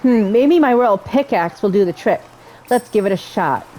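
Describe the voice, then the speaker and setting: A woman's voice: mid-range voice, expressive, normal speed, dark tone, a little hiss or hum, low-quality recording. A woman hosting a gaming playthrough, commenting casually and reading game text aloud. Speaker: gaming video host.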